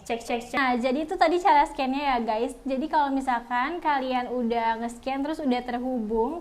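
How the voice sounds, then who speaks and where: A young woman reviewing products in a casual vlog, chatting to her viewers and calling them guys. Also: high voice.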